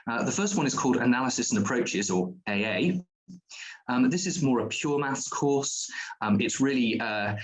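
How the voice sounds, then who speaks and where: A man's voice: mid-range voice, low-quality recording. A man presenting a school's diploma courses at an online open day, explaining at length with frequent ums and restarts.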